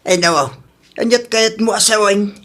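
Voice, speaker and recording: A man's voice: high-pitched, moderate pace, fairly bright tone. A man playing a fretful older father. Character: worried, grumbling older father of grown children. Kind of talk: radio drama acting.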